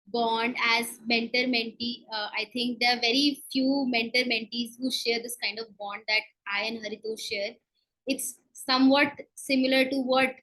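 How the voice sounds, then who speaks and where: Female coach, high bright voice, emphatic instructional delivery with deliberate pauses. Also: rough recording. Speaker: public speaking coach giving a talk. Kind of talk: instructional presentation to an online group.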